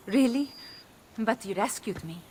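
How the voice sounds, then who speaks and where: An actress playing a gentle, loving wife in a video game, with a soft, tender manner. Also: female voice, a little high, lively intonation, unhurried, a little hiss or hum. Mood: tender, grateful. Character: gentle, loving Italian wife, rescued captive.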